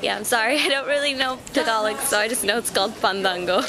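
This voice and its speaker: Young woman, student dancer, highish voice, casual interview, busy crowd in background. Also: a little fast. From United States. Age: young adult. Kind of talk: casual chat to camera. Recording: clear crowd chatter behind.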